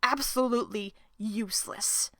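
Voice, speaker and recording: A woman playing a sharp-tongued, distrustful woman in an audio drama. Her voice is bright and fairly high, recorded close and dry. She is sarcastic, profane and quick to confront. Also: no room sound. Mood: furious, bitter. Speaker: voice actor in an audio roleplay.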